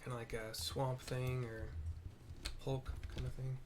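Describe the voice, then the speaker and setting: Male gamer, medium-pitched voice, easygoing and informal, describing the action as he plays. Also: little pitch movement, measured pace, background noise. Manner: laid-back, descriptive, informal. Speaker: gamer narrating a playthrough. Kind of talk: casual gameplay commentary. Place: indoors at a computer.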